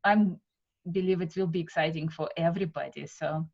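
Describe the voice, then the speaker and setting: A woman from Siberia with a Russian accent, teaching an online art meditation class, halting and full of fillers. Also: low-pitched, fairly even intonation, creaky, lo-fi sound. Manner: halting, many fillers, warm welcomes. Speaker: art method instructor. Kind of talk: live online class introduction. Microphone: video call.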